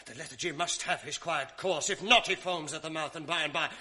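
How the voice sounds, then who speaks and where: A man's voice: a little high, a little fast, bright, forceful tone. An actor playing a cunning, mocking male ensign who whispers sly asides, in a 1980s radio drama. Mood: mock concern. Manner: sly, taunting, confiding asides.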